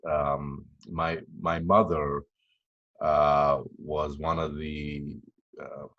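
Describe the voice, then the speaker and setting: A man in his fifties, originally from Tanzania, speaking as a podcast guest in a halting, reflective way with many fillers and restarts. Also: a bass voice, flat delivery, muffled recording. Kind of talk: casual interview chat.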